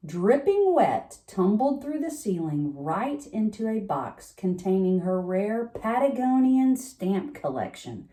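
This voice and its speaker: A woman's voice: mid-range voice, sing-song, takes it slowly, hardly any pauses, mellow. A woman storyteller reading a children's picture book aloud at bedtime, expressively, with chatty asides.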